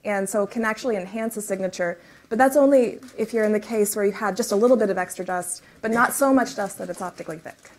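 A woman speaking at a lectern in a lecture room, giving a technical science talk. She explains in measured steps with the occasional filler. Her pitch sits in an ordinary middle range. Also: darkish tone. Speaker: research speaker. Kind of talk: conference talk and Q&A.

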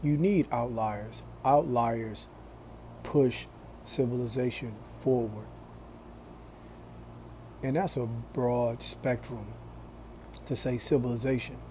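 A man in his forties talking to his phone camera in a small room, slow and deliberate, with a preachy tone. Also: mid-range voice, steady tone, dark tone, a little hiss or hum. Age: 40s. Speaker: vlogger. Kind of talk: casual talk to camera.

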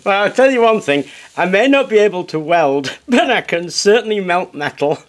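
A man's voice: high voice, lively intonation, moderate pace. A middle-aged man chatting casually as he explains a project, self-deprecating and hands-on, recorded in an indoor workshop. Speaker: workshop video host. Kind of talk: casual demonstration.

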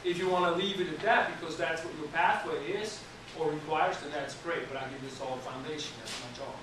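Male anatomy instructor in his thirties, lively and bright-voiced, lectures from across an echoey classroom. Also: a little high, fairly quick, recorded from a distance, noisy background. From Switzerland. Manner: animated, talks with his hands, restarts often. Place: college classroom, indoors. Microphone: far camera at the back of the room.

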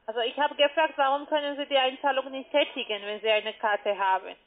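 A female call centre agent speaks in a high voice with a foreign accent. She is a non-native speaker, blunt and insistent, heard through thin phone audio. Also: fairly even intonation, a little fast. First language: not German. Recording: tinny phone line.

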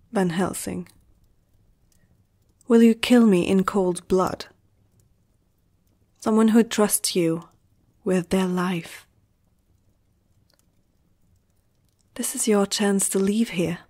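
Gentle female vampire, played by a woman, ASMR roleplay. Hushed, husky, unhurried, soothing, medium pitch. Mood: calm, pleading. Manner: soft-spoken, often whispering, slow and soothing. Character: gentle, protective female vampire comforting a human.

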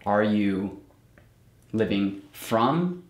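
A man's voice: fairly low pitch, expressive, drawn-out words. A man hosting a casual conversational interview, putting questions and short remarks to his guest. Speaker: interviewer, host. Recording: roomy echo.

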